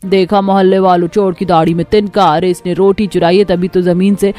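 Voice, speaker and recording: A woman voicing a spiteful neighbour in a cartoon story, scolding and taunting loudly. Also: lowish voice, level tone, fairly quick, faint music behind, natural voice. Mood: mocking. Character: loud spiteful neighbour woman shaming a thief.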